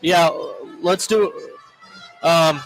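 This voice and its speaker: A man with a moderately high voice talks casually with music faintly behind him. He speaks in halting bits, working out plans aloud. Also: fairly even intonation, fairly bright tone, rough recording. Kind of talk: casual off-air production chat.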